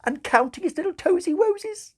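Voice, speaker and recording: Scatterbrained nun, super high voice, gushy baby talk, cooing away, audiobook style. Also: male voice, animated, a little fast, a bit bright. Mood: gushing, cooing. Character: chattering scatterbrained young Satanist nun, cooing over babies.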